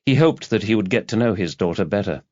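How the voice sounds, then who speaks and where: A man narrating a thriller audiobook from a script in a measured, dramatic storytelling manner. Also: fairly low pitch, lively intonation, darkish tone, no echo. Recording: dull lo-fi audio, very dry.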